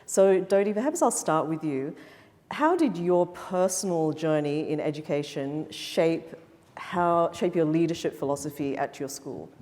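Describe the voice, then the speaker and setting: A woman's voice: sits low, expressive, a little slow, a little mellow. A middle-aged woman moderating a panel, friendly and guiding the questions. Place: event hall before an audience. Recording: reverberant event hall.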